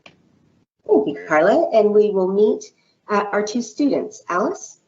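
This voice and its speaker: A woman's voice: mid-range voice, big pitch swings, a little mellow, lo-fi sound. A woman moderating a virtual panel discussion, introducing speakers and posing prepared questions in an orderly way. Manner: measured, scripted, orderly.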